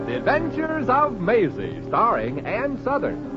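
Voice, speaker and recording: A man's voice: high voice, wildly swooping pitch, a little slow. A man announcing a show in a theatrical, projected 1940s radio style. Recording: muffled vintage radio, loud music behind.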